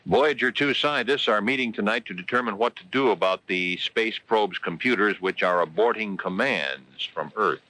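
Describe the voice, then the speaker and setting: A low-voiced American man delivers a radio newscast. His tone is bright and forceful, with formal, emphatic phrasing on each scripted item. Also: normal speed, hardly any pauses, dry recording, poor audio. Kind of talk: scripted radio news and weather reading. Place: radio studio.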